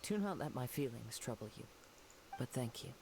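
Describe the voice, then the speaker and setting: An actor playing a young, melancholy wandering samurai in a video game, speaking in measured, poetic, formal phrases. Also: male voice, medium pitch, lively intonation, gravelly, slight background noise. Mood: calm, resigned. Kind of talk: video game character dialogue. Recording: game audio under loud music.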